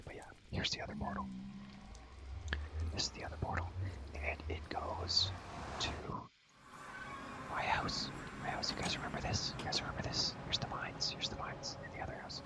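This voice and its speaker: A young man whispering casual, rambling ASMR gameplay commentary, full of slang and repeated phrases, in a breathy, unvoiced voice. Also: normal speed, long pauses. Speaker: ASMR gaming video creator.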